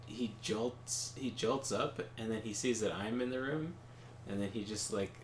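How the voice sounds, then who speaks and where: Man, lowish voice, loose rambling storyteller, casual gaming-chat energy, roomy echo. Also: unhurried. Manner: rambling anecdotes with many fillers and laughs. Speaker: co-host of a game stream. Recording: roomy echo, faint background noise.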